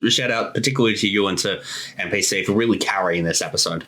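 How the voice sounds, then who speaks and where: A man's voice: on the low side, quick pace, pushed and bright. A man chatting casually as director and co-host of a creator commentary.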